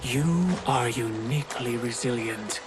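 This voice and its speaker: An actor playing a mysterious, calculating tempter who speaks deliberately and knowingly in a video game cutscene. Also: male voice, medium pitch, lightly acted. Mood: calculating, tempting. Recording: loud music behind the voice.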